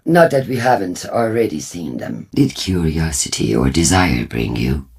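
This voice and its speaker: An actor voicing a solemn, prophetic war cult leader in a video game, speaking formally and deliberately with a husky voice. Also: male voice, very deep voice, big pitch swings, a little mellow, full character voice. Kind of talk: scripted video game character dialogue.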